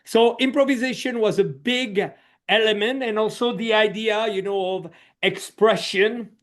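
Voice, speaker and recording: Middle-aged guy from switzerland, pretty high voice, thoughtful podcast storytelling. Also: unhurried. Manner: reflective storytelling with frequent "you know". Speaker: guest social coach. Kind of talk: conversational podcast interview.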